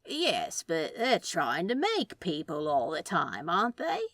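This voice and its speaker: A woman acts out a laid-back male demon from a storybook. He reasons aloud slowly and casually, with a sceptical streak. The intonation swings widely, and the sound is dry and close. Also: lowish voice, huge pitch swings, fairly bright tone, dry recording, full character voice. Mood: reasoning, sceptical.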